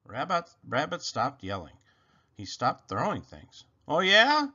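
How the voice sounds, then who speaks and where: Very animated, slow-paced male storybook reader. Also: medium pitch, breathy edge, muffled recording. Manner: expressive, dramatic storytelling. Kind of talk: children's read-aloud narration.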